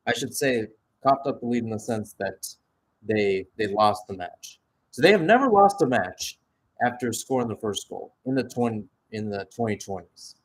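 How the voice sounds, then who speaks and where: Man calling live soccer play-by-play. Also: on the low side. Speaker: radio play-by-play commentator.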